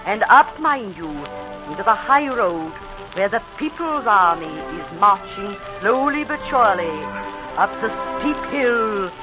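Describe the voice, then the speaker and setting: An actress playing a proud old British aristocrat, giving a stately, rousing speech in 1940s radio style. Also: female voice, medium pitch, very animated, a little slow, over music, low-quality recording. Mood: rousing, resolute. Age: older. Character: grand old aristocratic lady, proud, stirred to patriotism.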